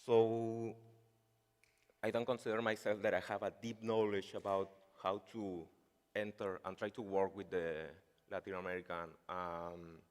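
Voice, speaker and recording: Deep-voiced Chilean man giving a conference talk. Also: level tone, measured pace. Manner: hesitant, many fillers like "like" and "uh". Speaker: conference speaker. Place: large conference hall. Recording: reverberant hall sound.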